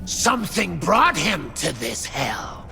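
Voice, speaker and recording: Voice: medium pitch, big pitch swings, slow, vocal fry, bright, forceful tone, in a large hall, faint music behind, heavily acted. An actor playing a manic, torture-loving masked executioner in a video game, speaking in theatrical sing-song rhymes. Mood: gleeful, sing-song. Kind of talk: video game character acting.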